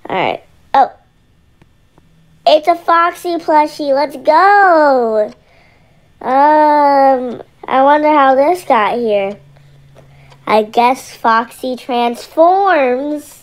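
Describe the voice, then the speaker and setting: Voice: high-pitched, extremely slow, pauses often. A performer voicing a scared young boy trapped in nightmares, talking to himself in casual slang in an amateur horror roleplay. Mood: wary, muttering.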